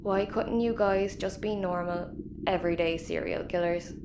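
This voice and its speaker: A woman's voice: lowish voice, steady tone, normal speed, lightly acted. Woman playing a skeptical spaceship crewwoman. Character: skeptical, disillusioned young woman of spaceship crew. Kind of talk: sci-fi audio comedy acting. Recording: roomy, noisy ambience.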